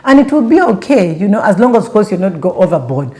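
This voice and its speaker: A young woman with a Ugandan accent talks loosely to her viewers, with plenty of restarts and fillers as she poses questions to them. Her voice sits at a middle pitch with a dark, mellow tone. She sounds like an easygoing vlogger chatting straight to camera. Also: big pitch swings, fast. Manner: rambling, with many restarts and fillers, asking the viewers directly. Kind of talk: casual chat to camera.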